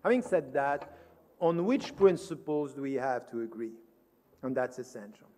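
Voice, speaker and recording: A man's voice: medium pitch, normal speed, a little mellow. A man from Switzerland giving a formal conference lecture in an emphatic, didactic manner, posing questions and answering them. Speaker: invited speaker at a conference. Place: conference hall, indoors. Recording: reverberant large hall.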